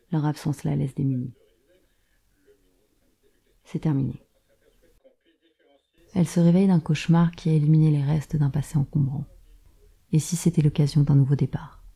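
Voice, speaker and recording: Female drama narrator, low-toned and very mellow, husky with vocal fry, terse scripted delivery. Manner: terse present-tense storytelling.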